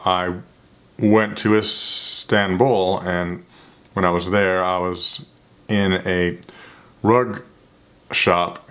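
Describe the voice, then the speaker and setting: Slow, low-voiced man who stutters. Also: a bit bright. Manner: stutters with sound repetitions and restarts; measured, earnest, self-reflective. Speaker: vlogger. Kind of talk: reflective talk to camera.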